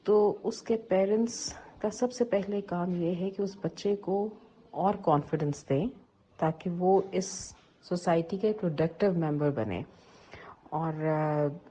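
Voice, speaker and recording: A woman with a fairly low, soft-toned voice gives an earnest interview answer. The recording is slightly dull and lo-fi. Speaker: interviewee about an audio drama. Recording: dull lo-fi audio.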